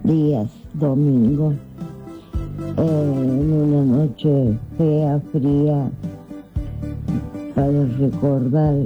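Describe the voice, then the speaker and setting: A man leaving a slow, halting voice message for a radio show, searching for his words. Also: fairly high pitch. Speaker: radio listener sending a voice message. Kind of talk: casual voice message. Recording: tinny phone audio with guitar music.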